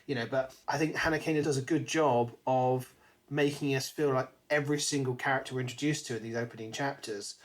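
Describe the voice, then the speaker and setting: Male British podcast co-host, mid-range voice, casual and animated book talk. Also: mid-range voice, brisk. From Britain.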